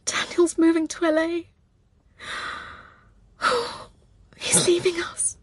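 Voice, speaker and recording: A woman voicing a highly strung, protective mother in an audiobook, with a tense, insistent manner. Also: moderate pace, darkish tone, dry recording, natural voice. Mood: upset.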